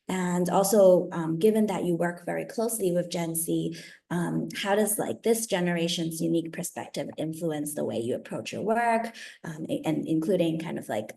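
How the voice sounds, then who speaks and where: A woman with a lowish voice and a lot of vocal fry, moderating a panel discussion. She asks long, wandering questions in a relaxed, hesitant way, full of "um" and "like.". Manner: hesitant and chatty, with many "um" and "like" fillers. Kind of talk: casual conversational questioning.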